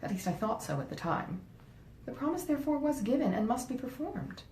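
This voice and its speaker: In a roomy space, a woman narrator plays a grave, well-meaning gentleman from a storybook classic. He deliberates earnestly and a little pompously, in a mellow voice with lively intonation. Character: grave, well-meaning but easily swayed gentleman husband. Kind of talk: acted dialogue in a classic novel reading. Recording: roomy echo, faint rumbling noise.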